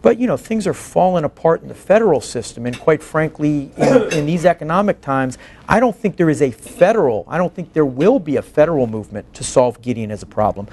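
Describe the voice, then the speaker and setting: A man's voice: on the high side, animated, a little fast, darkish tone, slightly rough. A man in his forties giving a lecture in an earnest, emphatic way, tagging points with "right?". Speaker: guest lecturer, public defense advocate. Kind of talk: lecture to a faculty colloquium with questions. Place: meeting room, indoors.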